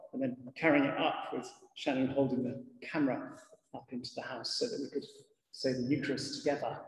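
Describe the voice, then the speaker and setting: A middle-aged man preaches as a vicar, his voice fairly high for a man. He speaks in a measured, reflective way with deliberate pauses. The sound comes from a lectern mic in a large church, with a long reverb around it. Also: a little mellow. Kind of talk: sermon to a congregation. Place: large church interior, indoors. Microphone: lectern gooseneck microphone.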